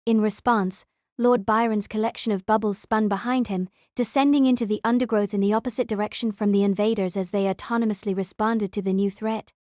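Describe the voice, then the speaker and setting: A woman's voice: mid-range voice, fairly even intonation, brisk, a little mellow, no echo, clean studio sound. A woman narrator reading a scripted story aloud as an audiobook.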